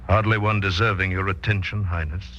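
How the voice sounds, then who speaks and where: An actor playing a sly, cold, over-faithful palace guardian with oily, formal politeness in a 1940s radio drama. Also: male voice, sits low, fairly even intonation, brisk, fairly bright tone. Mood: obsequious. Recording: dull, noisy old radio recording.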